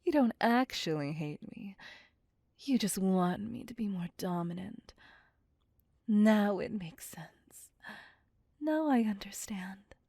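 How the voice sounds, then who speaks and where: Slow, breathy vampire seductress, played by a woman. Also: mid-range voice, expressive, dark tone, no echo, studio quality, exaggerated voice. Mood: teasing, sultry. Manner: slow, breathy, teasing, commanding, drifting into whispers. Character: possessive, dominant female vampire seductress, teasing and smug. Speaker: voice actor. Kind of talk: ASMR roleplay, intimate whispering.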